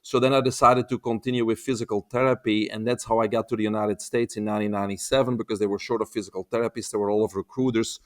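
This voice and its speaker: Belgian-accented man, relaxed storyteller. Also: lowish voice, fast talker, hardly any pauses. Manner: relaxed, wry storyteller who acts out quoted dialogue. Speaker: podcast guest, holistic health expert. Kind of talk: casual interview storytelling. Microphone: remote, video call.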